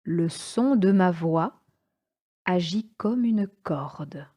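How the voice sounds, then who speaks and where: Female meditation guide, soft mellow tone, very slow and calm delivery, short phrases with spacious pauses. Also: lowish voice. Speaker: yoga nidra instructor.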